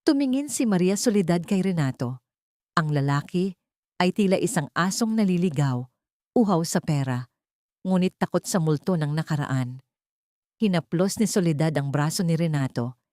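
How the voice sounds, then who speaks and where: A woman's voice: deep voice, a little mellow, dry recording, studio quality. A woman reading a scripted dramatic story as its narrator.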